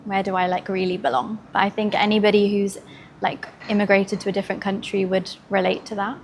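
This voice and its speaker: A woman's voice: mid-range voice, flat delivery, brisk. A woman with a British accent, chatting casually as an interview guest in an informal, upbeat, open manner. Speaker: podcast guest, fashion designer. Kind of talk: casual conversational chat. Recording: faint background ambient noise.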